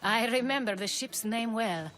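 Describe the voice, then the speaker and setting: An actress voicing a reflective woman recalling the past in a video game, calm and reminiscing. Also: a little high, moderate pace, a little hiss or hum, natural voice. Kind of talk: video game cutscene acting.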